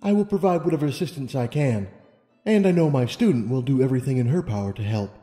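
A man plays a stately pony monarch from a storybook. The voice is mellow and deliberate, calm and wise, gently probing as it goes. It carries in a long, echoing hall reverb. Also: medium pitch, soft background music, natural voice. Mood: reassuring. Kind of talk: audiobook character reading.

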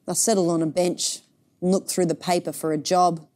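A woman voicing a weary, downhearted but gently hopeful working-class father in a picture book, resigned and plain-spoken. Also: fairly low pitch, level tone, normal speed, lightly acted. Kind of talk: picture book read-aloud.

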